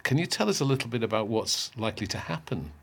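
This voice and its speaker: A man's voice: medium pitch, lively intonation, quick pace, a bit bright, slightly rough. A male presenter interviewing people with polite, curious questions.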